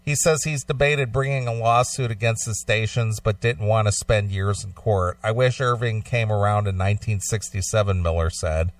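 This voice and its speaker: A man with a fairly low voice co-hosts a radio talk show, moving between reading news copy and casual discussion. The sound is dry, and he sits a little back from the microphone. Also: fairly even intonation, normal speed, hardly any pauses, no room sound, far from the mic. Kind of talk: reading news aloud and chatting about it.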